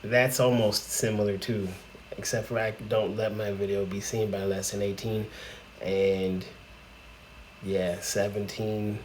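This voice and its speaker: A man's voice: lowish voice, level tone, unhurried, a little hiss or hum, low-quality recording. A man, hosting a casual reaction video, chatty and laughing, often exclaiming in disbelief. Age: 40s.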